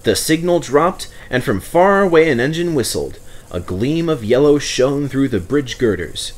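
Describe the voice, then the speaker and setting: Guy reading a kids storybook, narrator voice, medium pitch, really lively intonation. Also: moderate pace.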